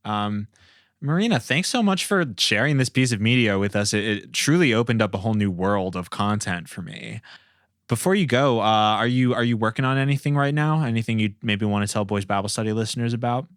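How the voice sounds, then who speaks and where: A man's voice: fairly low pitch, brisk, no echo, studio quality. A man hosting a podcast in a casual, playful, joking way. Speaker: podcast host.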